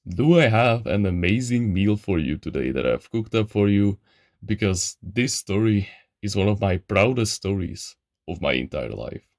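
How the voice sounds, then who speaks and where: Young man, from Eastern Europe, non-native English, slangy casual storytelling, somewhat low voice, dry close sound. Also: sing-song, moderate pace, darkish tone, dry recording. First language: an Eastern European language. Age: young adult. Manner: chatty, slangy, addresses listener as bro. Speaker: channel host.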